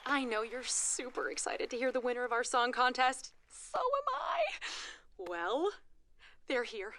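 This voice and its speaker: A woman playing an excited, bubbly presenter of a children's contest show. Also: fairly high pitch, a little slow, a little hiss or hum, full character voice.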